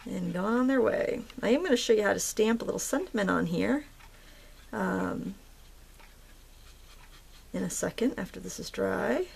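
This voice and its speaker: A woman hosting a craft tutorial, chatting in a relaxed, rambling way as she paints. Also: mid-range voice, normal speed, creaky, pauses often, husky. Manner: relaxed, rambling, with fillers and soft laughs. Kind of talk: casual chatty tutorial narration.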